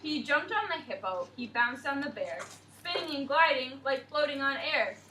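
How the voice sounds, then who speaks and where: A young woman teacher reading a picture book aloud to a class in an expressive, sing-song way and asking questions. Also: sits high, moderate pace, far from the mic, slight background noise, low-quality recording.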